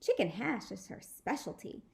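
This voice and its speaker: A woman's voice: fairly high pitch, wildly swooping pitch, moderate pace, gravelly, background noise, exaggerated voice. A woman voicing an earnest, proud little schoolboy in a storybook, innocent and matter-of-fact.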